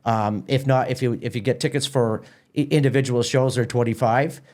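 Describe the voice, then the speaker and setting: A man's voice: medium pitch, fairly even intonation, normal speed, a little mellow. A man with a mid-range voice talks as a guest in a casual interview. He sounds like an organiser promoting an event informally. He often repeats words and doubles back mid-sentence.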